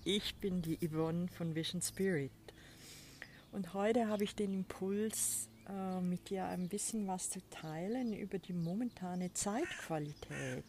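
A woman hosting a spiritual podcast, talking in a soft, breathy, reflective way from a balcony with birds behind her. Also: lowish voice, measured pace, a little hiss or hum. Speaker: podcast host. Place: outdoors on a balcony. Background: birds and a crow.

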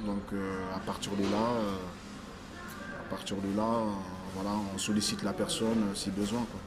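A man born in Africa, a stylist talking as an interview guest, halting and restarting his phrases as he searches for words. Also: lowish voice, little pitch movement, background noise. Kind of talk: casual interview conversation.

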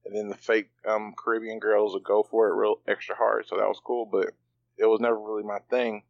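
A man co-hosting a casual podcast, rambling along with fillers and slang. His voice sits a bit low. The recording is muffled and lo-fi. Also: flat delivery, a little fast, creaky. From part Bahamian. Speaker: podcast co-host. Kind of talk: casual chat.

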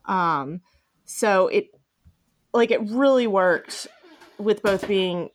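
A woman's voice: mid-range voice, very slow, slight background noise. A woman hosting a podcast, chatting casually and enthusiastically about fan fiction, swearing freely. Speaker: podcast host.

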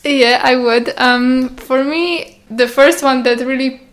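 Co-host: young woman, fairly high, hesitant casual delivery. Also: slow pace, fairly bright tone. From Romania. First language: Romanian. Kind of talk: casual podcast chat.

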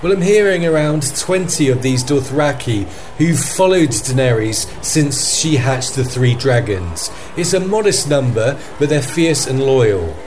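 A man playing an earnest war correspondent, giving measured, emphatic reports in a fantasy radio news play. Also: medium pitch, expressive, a little mellow, slight background noise, close to an everyday voice. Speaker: field correspondent.

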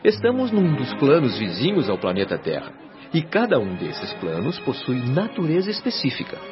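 Solemn spirit helper, varied pitch. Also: male voice, wildly swooping pitch, normal speed. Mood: admiring. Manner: formal, didactic, admiring. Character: devoted, solemn male resident helper of a spirit colony. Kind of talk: Brazilian radio soap acting. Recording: dull radio drama with music behind.

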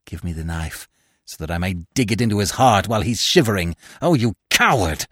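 A man's voice: sits low, lively intonation, fairly quick, a bit bright, dry recording, clean studio sound, pitched below the performer's own voice. A man voicing a furious, vengeful widow in a storybook, melodramatic and taunting, with a cruel and fearful edge. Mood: gloating, frantic.

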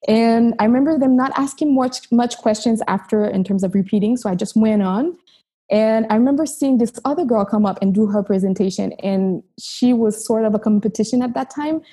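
A woman's voice: on the high side, fairly quick, mellow, slightly rough. A woman from Haiti whose first language is Haitian Creole, telling her story as a chiropractor guest in an earnest, reflective interview. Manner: earnest, reflective, with frequent restarts.

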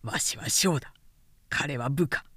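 A woman's voice: rumbling low, a little slow, a bit bright, slightly rough, no echo, clean studio sound, voice pushed down, exaggerated voice. A woman narrator voicing a grave, humble, self-reproaching warlord, with weighty archaic speech in a historical storybook reading. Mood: rueful.

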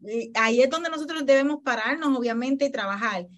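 A woman's voice: high voice, a little fast. A woman with a Puerto Rican accent, hosting a casual podcast chat in a reflective, agreeing way. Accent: Puerto Rican Spanish. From Puerto Rico.

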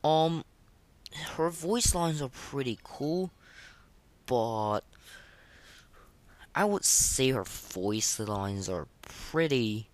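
A man hosting a podcast in casual, slangy, excitable chat, reviewing game voice lines. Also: mid-range voice, very slow, long pauses. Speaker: podcast host.

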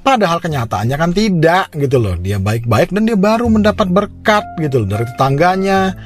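A man's voice: fairly high pitch, big pitch swings, fairly quick. Indonesian man preaching over prominent music. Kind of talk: informal sermon, direct advice to listeners.

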